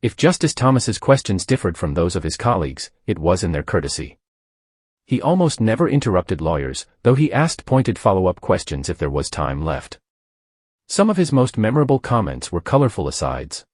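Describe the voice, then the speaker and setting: A man reading a news article aloud as the narrator of a news channel, in a steady scripted delivery. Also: low voice, moderate pace, no room sound, clean studio sound.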